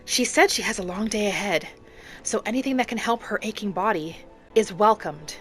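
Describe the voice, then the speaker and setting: A woman narrating a video game roleplay in character, speaking in polite, gently formal phrasing. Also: mid-range voice, normal speed. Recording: background music behind the voice.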